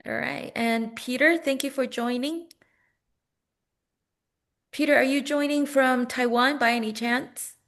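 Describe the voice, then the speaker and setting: Casting note: woman webinar host on a video call, bit high, welcoming. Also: steady tone, measured pace, long pauses. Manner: warm, welcoming, thanks each guest. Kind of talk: casual video call greetings.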